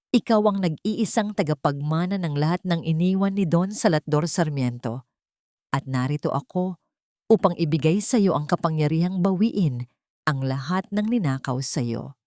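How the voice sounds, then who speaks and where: A woman's voice: fairly low pitch, mellow, no echo, studio quality, close to an everyday voice. A woman with a Filipino accent voicing a respectful middle-aged male lawyer in an audio drama, formal, grave and earnest.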